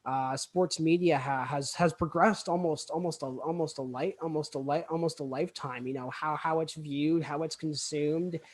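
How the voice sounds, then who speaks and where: A man's voice: on the high side, fairly even intonation, moderate pace, hardly any pauses. A young man hosting a student podcast casually, stammering and repeating words as he goes. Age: young adult.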